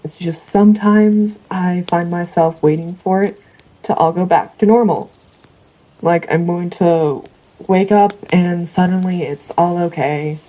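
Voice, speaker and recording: A woman plays a chatty former city woman stuck on a ranch in an audio drama. Her voice is fairly low and very mellow. She talks in a conversational, wistful way, full of nostalgia. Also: measured pace, rough recording. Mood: wistful, pleading.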